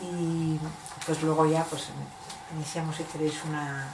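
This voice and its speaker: A low-voiced woman poet speaks at an informal reading. She is hesitant and corrects herself, heard from a distance in an echoing, noisy room. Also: little pitch movement, measured pace. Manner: hesitant, self-correcting, searching for words. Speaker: poet presenting and reading own work. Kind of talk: informal book presentation and poetry reading. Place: bookshop event.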